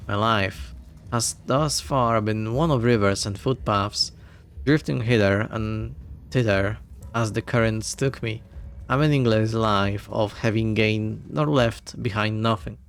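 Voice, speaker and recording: A man's voice: fairly low pitch, fairly even intonation, a little slow, clear, resonant tone. A man reading aloud as a carefree, wandering old martial arts master who drinks, telling his story at a leisurely pace. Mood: wistful.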